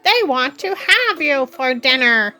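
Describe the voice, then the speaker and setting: A woman's voice: high voice, very animated, slow, pushed and bright, faint music behind, voice pushed up, heavily acted. A woman voicing a helpful, sensible male crow in a children's storybook, with an emphatic cawing delivery. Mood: reassuring.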